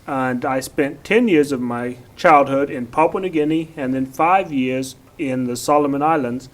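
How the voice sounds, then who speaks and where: A man's voice: medium pitch, normal speed. A man born in Oklahoma, a council candidate giving plain, matter-of-fact answers.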